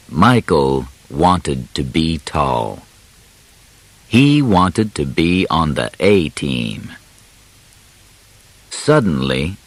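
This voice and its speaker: A man narrating an audiobook, reading a simple scripted story in deliberate, clearly separated short phrases. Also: deep voice, no room sound.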